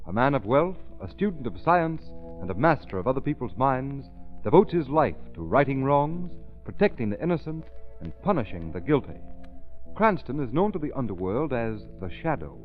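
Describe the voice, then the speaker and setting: A man announcing in a vintage radio broadcast with a punchy, declamatory delivery and a lively, swinging voice. It is a tinny old recording with faint music behind. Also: medium pitch, moderate pace.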